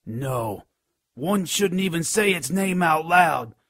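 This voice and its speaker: An actor voicing a scrawny, spiteful, cowardly imp with a gravelly, raspy voice in a radio drama. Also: male voice, fairly high pitch, slow pace, no room sound, full character voice. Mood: grave warning. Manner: snide, resentful, excitable. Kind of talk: radio drama character acting.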